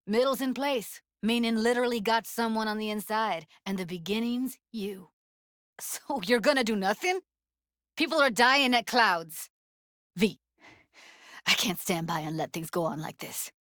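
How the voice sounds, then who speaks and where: A woman's voice: fairly high pitch, normal speed, fairly bright tone, dry recording, clean studio sound, lightly acted. An actress playing a tough, sardonic, emotionally raw young woman techie in a video game, talking in a blunt, slangy, streetwise way. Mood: focused, businesslike, then angry, heated. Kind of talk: naturalistic video game voice acting.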